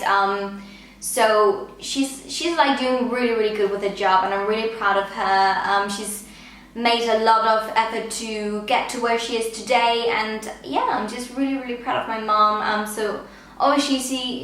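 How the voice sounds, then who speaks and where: A woman's voice: fairly high pitch, a bit bright, very echoey, rough recording. A woman of twenty-one, answering viewer questions in casual chat, with many "um" and "like" fillers. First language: Danish. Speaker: vlogger.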